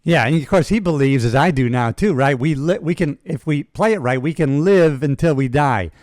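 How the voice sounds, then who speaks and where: A man's voice: fairly high pitch, lively intonation, moderate pace, darkish tone, dry recording, clean studio sound. A man in his sixties hosting a podcast, chatty and enthusiastic, talking to his guest like a buddy. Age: 60s. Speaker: podcast host. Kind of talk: casual interview talk.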